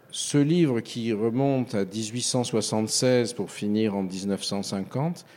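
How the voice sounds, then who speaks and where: An older man from France, a writer answering interview questions in a halting, reflective way with frequent hesitations. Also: lowish voice, mellow. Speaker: author guest. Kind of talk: radio interview.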